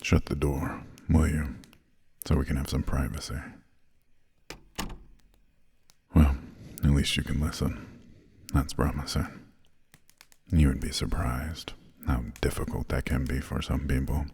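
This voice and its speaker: A man playing an imperious, centuries-old vampire lord in an ASMR roleplay, courteous but condescending, with formal, measured, soft speech and a breathy, gravelly voice close to the microphone. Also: rumbling low, flat delivery, exaggerated voice. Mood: cool, appraising. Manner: slow, measured, formal, haughty, drawn-out pauses. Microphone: close, dry studio microphone.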